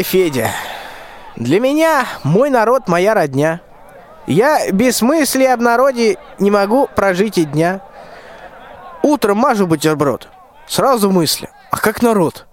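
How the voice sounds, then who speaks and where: Young guy doing whiny cowardly old tsar in a fairy-tale radio play, high voice, big swoops. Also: very animated, a little slow, a little hiss or hum. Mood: pious, self-pitying. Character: cowardly, wheedling, self-pitying old tsar. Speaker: school student actor.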